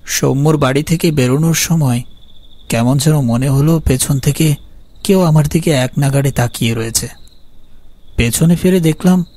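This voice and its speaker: A man's voice: a little high, normal speed, mellow, no echo, natural voice. A man acting as a haunted, guilt-ridden young man, narrating his horror story in a brooding, fearful way. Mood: uneasy, suspenseful. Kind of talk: scripted dramatic horror narration.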